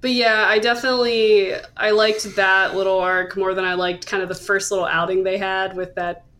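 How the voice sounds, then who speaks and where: Woman, podcast host, casual chat, tentative phrasing, middle-range pitch. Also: flat delivery, normal speed, fairly bright tone, poor audio. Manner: hesitant, self-qualifying. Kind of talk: casual discussion of manga.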